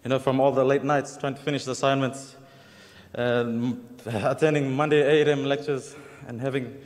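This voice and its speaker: A man's voice: medium pitch, flat delivery, moderate pace, darkish tone, in a large hall. A young man from Fiji giving a formal, earnest acceptance speech with touches of humor at an awards ceremony. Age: young adult. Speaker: award recipient. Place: large hall at an awards ceremony.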